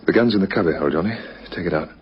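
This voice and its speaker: A man's voice: deep voice, very fast, natural voice. An actor in a vintage radio drama playing a fussy, tidy man with a precise, testy delivery. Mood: calm, resolved. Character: fussy, tidy man calmly arranging his own death. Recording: muffled old radio recording, loud car noise.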